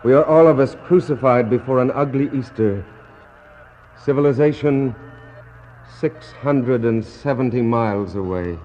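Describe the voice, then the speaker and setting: A man acting a despairing British naval captain in a 1960s radio play, declaiming in anguished, bitter soliloquy that swings between hushed prayer and outcry. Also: mid-range voice, a little slow, close to an everyday voice. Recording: dull, noisy 1960s radio recording.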